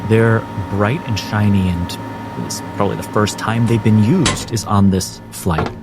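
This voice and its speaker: A man narrating a tabletop game as game master in a measured storytelling way. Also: on the low side. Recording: music bed under narration.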